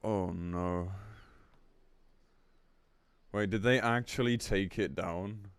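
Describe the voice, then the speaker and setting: Low-voiced man streaming, dry close sound. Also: slow, smooth, no echo. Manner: blunt, sweary, exasperated. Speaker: game live streamer. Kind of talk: casual live-stream commentary with read-aloud announcement.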